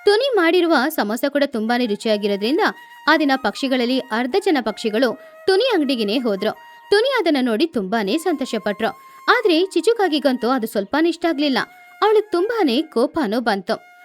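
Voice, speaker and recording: Very high, animated woman storyteller. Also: normal speed, soft background music. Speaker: story narrator. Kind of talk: scripted children's story narration.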